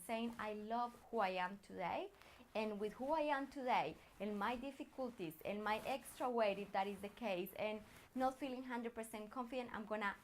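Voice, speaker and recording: A woman's voice: medium pitch, slight background noise, low-quality recording. A woman from Colombia with a Colombian accent and native Spanish, speaking as a personal branding coach in an encouraging, earnest motivational talk straight to the listener.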